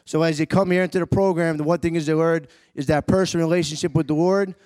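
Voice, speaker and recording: A man's voice: on the high side, fairly even intonation, fast, darkish tone. Preacherly man introducing a speaker. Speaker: ministry program leader. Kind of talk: introducing a testimony in church.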